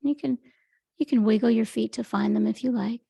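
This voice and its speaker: Soft, creaky woman guiding meditation. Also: on the high side, fairly even intonation, dry recording, studio quality. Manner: gentle, unhurried, soothing instruction. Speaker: meditation teacher. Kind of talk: guided meditation, Yoga Nidra.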